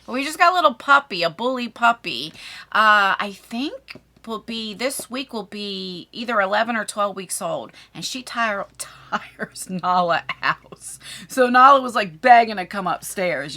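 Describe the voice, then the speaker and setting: A woman's voice: mid-range voice, lively intonation, moderate pace, sharp tone. A middle-aged woman chatting casually and effusively to viewers as a beauty vlogger, unboxing products. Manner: effusive, talks to viewers and to the items.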